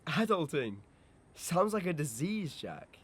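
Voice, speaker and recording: A man's voice: sing-song, slow pace, husky, full character voice. A young man playing a carefree, fun-loving teenage lad who talks in a casual, matey way in an amateur audio drama. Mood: defensive, carefree. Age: young adult. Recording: noisy amateur recording, environmental rumble.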